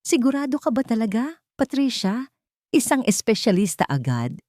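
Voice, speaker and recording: A woman's voice: on the high side, sing-song, normal speed, dark tone, no echo, pitched above the performer's own voice. Doubting wealthy son in audio melodrama, read by a woman: hesitant, questioning, worried, very dry sound. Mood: worried, doubtful.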